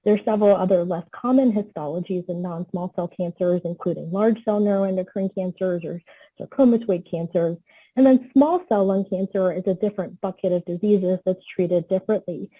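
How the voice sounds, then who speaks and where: A woman oncologist giving a medical webinar lecture, explaining clearly, a little hoarse. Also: medium pitch, dark tone, no room sound, poor audio. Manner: clear explanatory tone, asks for next slide. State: slightly losing her voice.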